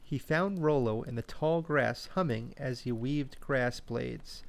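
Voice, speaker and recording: A man telling a scripted children's bedtime story in a gentle, cozy, expressive way. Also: medium pitch, takes it slowly, creaky. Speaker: storyteller and host.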